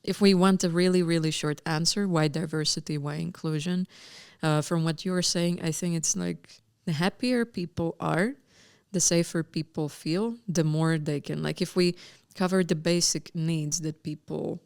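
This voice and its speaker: Low, gravelly woman moderating a panel. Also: fairly even intonation, moderate pace, a little mellow. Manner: thoughtful, rephrasing as she goes. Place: live event panel.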